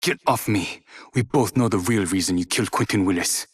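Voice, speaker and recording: A guarded young hacker from a video game. His voice is husky and on the low side, curt, bitter and accusing. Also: a little fast. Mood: resentful. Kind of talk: video game character lines.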